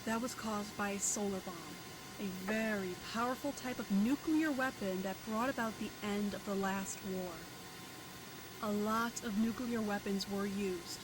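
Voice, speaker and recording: A woman voicing a composed military operator who briefs a mech pilot in a video game, measured and informative. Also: mid-range voice, background noise, close to an everyday voice. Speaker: mission operator in a mecha game. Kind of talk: scripted game briefing voice-over. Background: game music and engine sounds.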